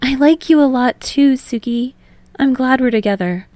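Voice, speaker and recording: A woman voicing a sunny, eager young man in love who is easily flustered, earnest and high-spirited, in an audiobook romance. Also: moderate pace, warm tone, dry recording, lightly acted. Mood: fond, thoughtful.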